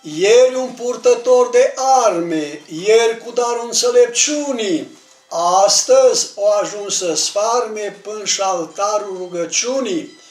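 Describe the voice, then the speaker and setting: A man recites religious poetry in a declamatory, measured manner, leaning on the ends of his phrases. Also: very high-pitched. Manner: declamatory, measured phrasing with emphatic line endings. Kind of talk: dramatic recitation of religious verse. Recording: roomy distant pickup with music.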